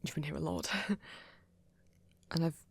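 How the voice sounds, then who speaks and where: A performer playing a flirty, earnest vampire in an ASMR romance roleplay, speaking haltingly and intimately in a husky, often half-whispered voice. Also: medium pitch, flat delivery, exaggerated voice. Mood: tender, reassuring. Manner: hesitant, halting, breathy and intimate. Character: flirty, earnest vampire confessing love, soft-spoken.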